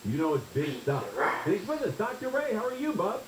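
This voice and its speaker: A man in his forties hosts a talk radio show with an animated, swinging delivery. He banters and jokes easily with his guest. The sound has a slight roominess and a faint hiss behind it. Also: sits high, a little fast, roomy sound, rough recording. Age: 40s. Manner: animated, joking interviewer. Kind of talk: casual radio chat.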